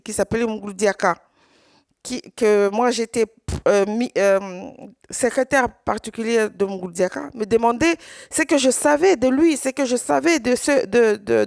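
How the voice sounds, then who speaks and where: Halting woman with a gravelly voice. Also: a little high, a little mellow, dry recording, clean studio sound. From Congo. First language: Swahili. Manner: halting, with many repeated words and restarts. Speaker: survivor giving testimony. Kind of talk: personal testimony at a conference.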